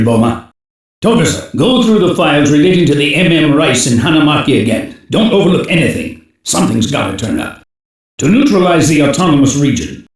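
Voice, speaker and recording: An actor playing a stern, commanding older security chief who gives terse, authoritative briefings in an anime game. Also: male voice, fairly high pitch, close to an everyday voice. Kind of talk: English-dubbed anime game voice acting. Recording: very echoey, reverberant game audio.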